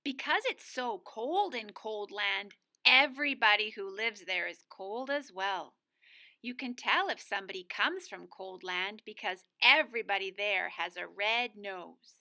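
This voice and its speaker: A woman's voice: mid-range voice, lively intonation, measured pace, pushed and bright. A woman co-reading a storybook aloud as a guest narrator for young children.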